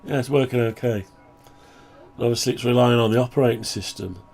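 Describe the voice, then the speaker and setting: A man talking through a project update on his own software, rambling and thinking aloud, voice groggy from the flu. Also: mid-range voice, fairly even intonation, fast talker. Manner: rambling, thinking aloud, frequent throat clearing. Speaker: hobbyist maker showing his own software. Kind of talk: casual screen-share project update.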